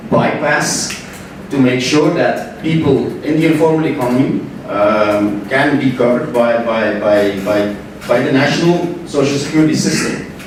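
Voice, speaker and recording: A man from Belgium giving a conference talk, hesitant, with many repeated words and restarts. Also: medium pitch. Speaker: panel speaker. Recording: echoey hall, distant mic, background noise.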